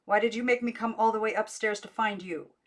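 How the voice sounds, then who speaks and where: A woman's voice: mid-range voice, fairly quick, voice pushed up, natural voice. A woman voicing a stern, angry older aunt who scolds a child in a storybook reading. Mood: angry scolding. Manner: sharp, scolding. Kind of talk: audiobook character voice.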